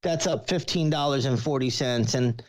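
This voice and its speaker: A man's voice: mid-range voice, normal speed. A man speaking as an analyst on a show, giving conversational, explanatory commentary. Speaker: market analyst, regular guest.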